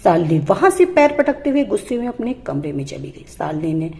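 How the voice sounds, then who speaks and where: Lady telling a moral story, lively up and down pitch, bit of fry. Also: brisk. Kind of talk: scripted Hindi moral story narration.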